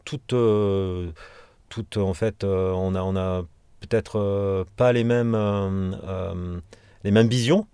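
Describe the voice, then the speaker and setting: Male singer from Provence, interviewee. Low voice, hesitant and digressive, close dry sound. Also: steady tone, takes it slowly, warm tone, smooth, no echo. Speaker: punk band singer being interviewed. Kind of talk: casual interview talk.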